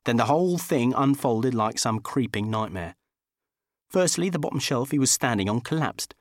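A man narrating a comic first-person audiobook in a wry, expressive storytelling manner. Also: medium pitch, normal speed, dry recording, clean studio sound.

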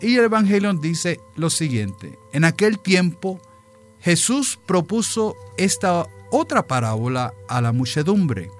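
Casting: a New York-born man of Puerto Rican descent, a deacon hosting a radio show. Slightly high voice, easygoing and chatty. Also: big pitch swings, a little slow, soft background music. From New York, of Puerto Rican parents. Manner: chatty, folksy, with frequent "eh" fillers. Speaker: radio program host, deacon. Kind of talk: casual radio talk and Bible reading.

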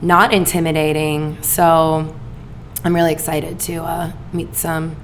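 A raspy-voiced woman co-hosts a casual podcast in a low, flat tone. She chats loosely and leans on "like" and "um" between thoughts. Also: unhurried, noisy background. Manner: chatty, filler-heavy with lots of "like". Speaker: podcast co-host.

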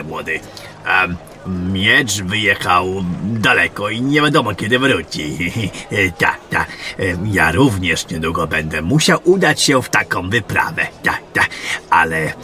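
A man playing a jovial, gruff veteran general in a fantasy audio drama, muttering, hesitating and repeating himself. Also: on the low side, pushed and bright, exaggerated voice.

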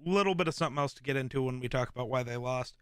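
A man hosting a live stream, chatting casually in a rambling way with lots of fillers and restarts, in a relaxed voice. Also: medium pitch, very fast, no room sound, studio quality. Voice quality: relaxed, smooth voice. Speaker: streamer host.

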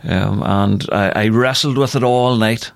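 A man's voice: lowish voice, unhurried, vocal fry, no echo. A man with a Northern Irish accent giving personal testimony as a radio guest, speaking earnestly and repeating phrases for emphasis. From Northern Ireland. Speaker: interview guest, ministry worker. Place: radio studio.

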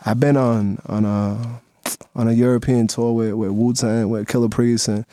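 A man's voice: mid-range voice, little pitch movement, normal speed, mellow, no echo. A young man from the Dominican Republic, a guest in a radio studio, chatting casually with lots of laughs and fillers. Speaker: guest videographer. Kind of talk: casual radio interview chat.

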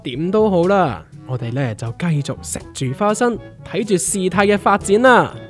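A man's voice: high voice, animated, normal speed, fairly bright tone, faint music behind. Guy acting wry hong kong cantonese man, mock newsreader, really swingy intonation, radio comedy. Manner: emphatic, wry newsreader-like delivery. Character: brawny, level-headed, wry man reporting news.

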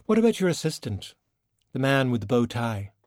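A man's voice: mid-range voice, big pitch swings, normal speed, darkish tone, dry recording, close to an everyday voice. A male narrator performs a young woman in an audiobook. The delivery is informal and direct, with a breezy, casual friendliness. The sound is close and dry. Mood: curious. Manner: informal, blunt. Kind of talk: audiobook character dialogue.

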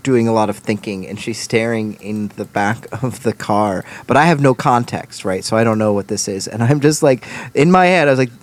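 A man's voice: fairly low pitch, moderate pace, few pauses. A man chatting casually as a podcast co-host, rambling with many restarts and laughing along. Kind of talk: casual chat.

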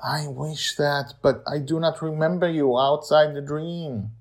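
Man voicing a proud, stubborn girl, pitched up. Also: steady tone, measured pace. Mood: wistful. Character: proud blind girl, stubborn, anxious, formal.

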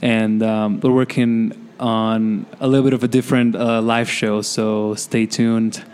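Smooth-voiced male musician guest. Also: fairly low pitch, little pitch movement, a little slow. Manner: mellow, thoughtful, hesitant. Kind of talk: casual interview chat.

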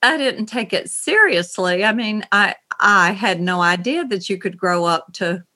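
A middle-aged woman from North Carolina with a Southern accent, speaking thoughtfully as an interview guest over a video call from her writing room, pausing and restarting often. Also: mid-range voice, expressive, bright, forceful tone. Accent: Southern American. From North Carolina, USA. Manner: slow, thoughtful, with many pauses and restarts. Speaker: novelist guest.

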